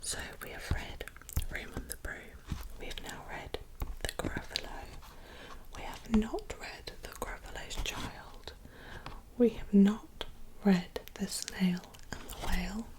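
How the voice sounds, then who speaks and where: A woman reading a picture book aloud in a soft whisper, pointing out the illustrations as she goes. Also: mid-range voice, slow pace, rough recording. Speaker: storybook reader. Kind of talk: whispered ASMR storybook reading.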